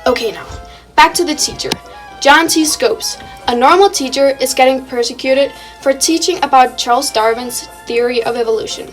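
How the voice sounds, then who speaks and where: High-voiced young woman reading radio news. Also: moderate pace, soft background music, low-quality recording. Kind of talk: scripted 1920s radio news, read aloud.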